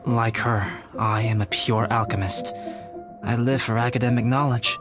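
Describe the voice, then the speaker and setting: A man plays a reserved young alchemist from a video game, his voice on the low side. He speaks formally and thoughtfully, with deliberate pauses and a calm, scholarly air. Music is clearly audible behind him. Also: natural voice. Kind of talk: video game character acting.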